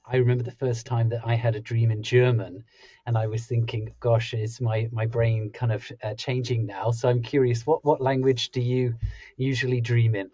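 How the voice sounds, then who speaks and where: A man in his thirties hosts a podcast interview, curious and friendly, with a mellow, warm tone. The sound is dry and a bit dull, from a desk mic on a call. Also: mid-range voice, little pitch movement, normal speed, dry recording. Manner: friendly, curious, some fillers. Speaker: podcast host. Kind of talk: interview questions. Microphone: headphones with a desk mic.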